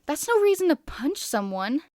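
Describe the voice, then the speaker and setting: A woman's voice: high voice, sing-song, normal speed, darkish tone, no room sound, clean studio sound, pitched above the performer's own voice. A woman voicing a shy, anxious teenage boy who is hesitant and huffy when flustered, in an anime fan story. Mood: scolding.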